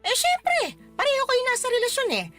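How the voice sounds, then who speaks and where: A lively, swooping female voice plays a middle-aged mother in a radio drama. She is exclamatory, scolding and fond at once. Faint music sits behind her. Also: very high voice, fairly quick, exaggerated voice. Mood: mock scolding. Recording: music under the voice.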